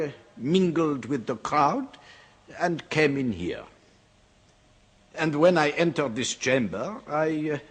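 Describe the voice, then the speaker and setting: An actor playing a suave, sinister French doctor in a radio horror drama, with a French accent, a husky voice and over-polite manners. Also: male voice, fairly high pitch, exaggerated voice. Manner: unhurried, over-polite, hesitating delicately. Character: suave, sinister French doctor, a fugitive killer, mockingly courteous. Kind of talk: old-time radio horror drama acting.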